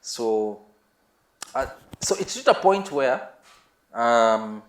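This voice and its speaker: A Kenyan-accented man telling a story casually and haltingly, repeating himself and slipping in Swahili words. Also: medium pitch, very animated. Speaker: musician, interview guest. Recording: roomy, echoing sound.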